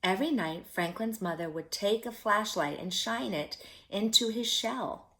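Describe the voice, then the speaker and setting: A woman's voice: medium pitch, a little slow, a bit bright, low-quality recording. A woman storyteller reading a picture book aloud expressively, with simple asides addressed to young children.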